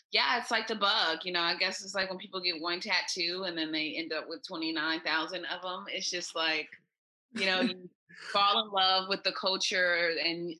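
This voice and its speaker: An American woman with a crisp, bright tone that sits a touch low. She tells her stories in an upbeat, chatty way, as a guest on a remote call. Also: rough recording. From United States. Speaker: podcast guest, expat traveler. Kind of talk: casual interview chat.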